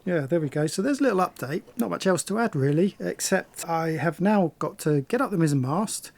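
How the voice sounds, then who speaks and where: A man's voice: a little high, animated, brisk, darkish tone. A man giving a casual, unscripted update as a sailing vlogger, thinking aloud with hesitations and blunt slang. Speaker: sailing vlogger and boat owner doing repairs. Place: on board a boat. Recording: clear ambient background noise on boat.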